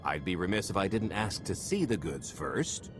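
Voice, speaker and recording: An actor playing a cocky, smooth-talking smuggler in a video game cutscene, full of sardonic wisecracks. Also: male voice, low voice, very animated, moderate pace, over music. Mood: smug, teasing.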